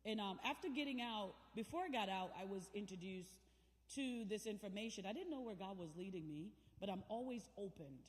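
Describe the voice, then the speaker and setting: A woman's voice: mid-range voice, lively intonation, brisk. A woman from Jamaica, giving a motivational talk as a guest speaker and drawing in the crowd with questions. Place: large church hall. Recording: long reverb of a big church hall.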